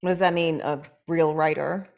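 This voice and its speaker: A woman reading her lines at a script table read, dry and blunt, with quick comebacks. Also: low voice, steady tone, vocal fry, darkish tone.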